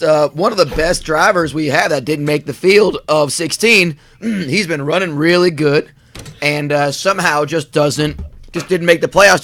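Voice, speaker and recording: Bright, forceful male race commentator. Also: a little high, no room sound. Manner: rambling, many fillers and restarts. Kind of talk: comedic live race commentary.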